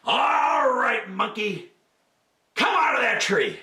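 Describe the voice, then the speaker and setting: Brief: gruff, sore-loser male crocodile, played by a man, blustery, bright and lively, echoey room. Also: very high-pitched, slow, recorded from a distance, pitched above the performer's own voice, exaggerated voice. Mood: grudging. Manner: grumbling, blustering.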